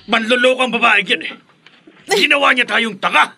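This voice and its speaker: An actor playing a stern, suspicious older man in a Filipino radio drama, gravelly and husky, with a Filipino accent. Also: very high-pitched, normal speed, pushed and bright, slight background noise, heavily acted. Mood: furious, anguished.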